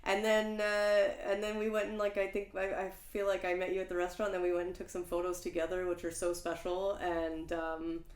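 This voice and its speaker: A woman's voice: fairly low pitch, monotone, fairly quick, hardly any pauses. A woman hosting a podcast, chatting casually and rambling with lots of "like" and restarts. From Langley, Canada. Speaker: podcast host. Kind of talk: casual interview chat. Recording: roomy echo.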